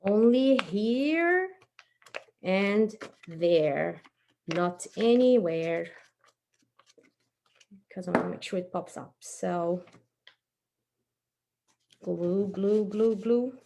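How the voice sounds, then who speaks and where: A woman's voice: mid-range voice, expressive, drawn-out words, vocal fry, long pauses, lo-fi sound, noisy background. A woman presenting a children's craft tutorial step by step, informal and encouraging as she talks while working with her hands.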